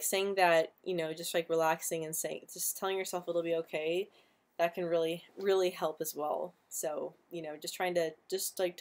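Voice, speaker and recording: A young woman hosting a casual live stream, talking with a frequent stutter that repeats sounds and words. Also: low-pitched. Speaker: livestream host answering viewer questions.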